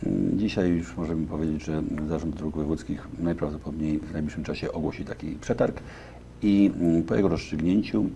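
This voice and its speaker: A very deep, dark-toned man in his fifties answers questions in a television studio, pausing often and filling gaps with hesitation sounds. A faint hiss sits under his lapel-mic voice. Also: fairly even intonation, creaky. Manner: halting, many fillers and restarts. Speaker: deputy county official. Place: local TV studio.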